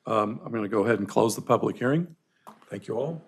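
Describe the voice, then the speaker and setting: A man serving as mayor, chairing a council meeting in a brisk, procedural way. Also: fairly low pitch, slightly rough. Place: council chamber.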